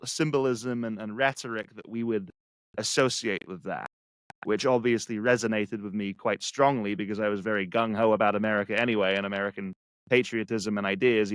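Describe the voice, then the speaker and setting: A man from England with an English accent, a guest speaking reflectively and haltingly with many restarts. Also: lowish voice, fairly even intonation, a bit bright, smooth, no room sound, rough recording. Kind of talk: casual conversation.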